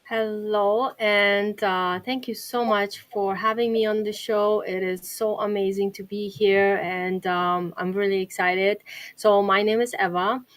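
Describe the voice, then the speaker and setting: A woman's voice: medium pitch, steady tone, measured pace. Woman, earnest, lots of ums, video call guest. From Poland. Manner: earnest, hesitant with fillers. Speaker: guest, mindset coach. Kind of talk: self-introduction.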